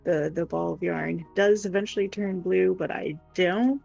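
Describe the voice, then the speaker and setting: A woman's voice: on the low side, steady tone, moderate pace, vocal fry, a little mellow, faint music behind. A woman chatting casually on a craft livestream in an informal, tentative way. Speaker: co-host doing crochet. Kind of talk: casual chat.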